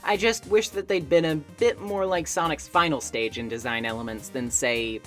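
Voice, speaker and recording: A woman's voice: deep voice, moderate pace, a bit bright. A woman narrating a scripted video game review essay in an opinionated way, with conversational asides. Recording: voice-over with music behind. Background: background music.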